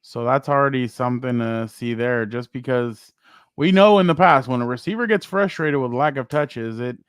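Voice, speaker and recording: A man co-hosting a casual sports talk show, loud and rambling, often laughing and trailing off. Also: medium pitch, normal speed, clear, resonant tone, no room sound, clean studio sound. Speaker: co-host.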